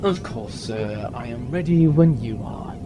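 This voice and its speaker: An actor playing a courteous, cautious robot servant in a comic sci-fi audio drama, speaking formally and deferentially. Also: male voice, medium pitch, animated, a little slow, over music, lightly acted. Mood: courteous concern.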